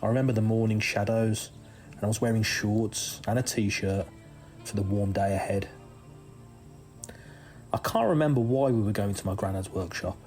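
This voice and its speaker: Male story reader, lowish pitch, measured scripted first-person narration with careful pauses, music bed. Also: darkish tone. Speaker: reader of a listener's true story. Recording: music under the voice.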